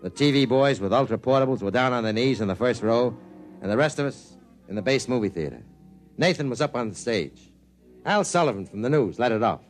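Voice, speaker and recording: A man's voice: medium pitch, animated, fairly quick, soft background music, lightly acted. An actor in a 1950s radio drama playing a world-weary male newspaper reporter who narrates the story. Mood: narrating.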